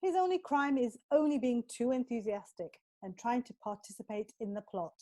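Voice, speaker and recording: A woman's voice: a little high, very animated, normal speed, dark tone. A woman playing an earnest defence lawyer, formal and persuasive, in a courtroom audio drama. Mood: earnest pleading. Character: earnest defence lawyer pleading for her client.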